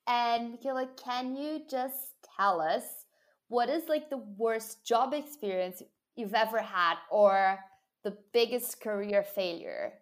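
A woman hosting a podcast interview, asking questions in a friendly, measured way with pauses, recorded remotely. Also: on the high side. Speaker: podcast host. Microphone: remote call recording.

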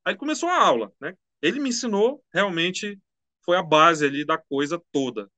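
Chatty high-voiced man. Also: normal speed, no echo. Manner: relaxed, slangy, chatty. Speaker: musician guest. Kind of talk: casual interview storytelling.

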